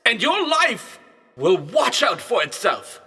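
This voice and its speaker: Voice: mid-range voice, sing-song, takes it slowly, pushed and bright, slightly rough, heavily acted. An actor playing a pompous, fussy thousand-legged millipede who directs traffic in a preachy, theatrical way in a comedy audio drama. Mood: haughty. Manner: theatrical, chanting step counts, preachy.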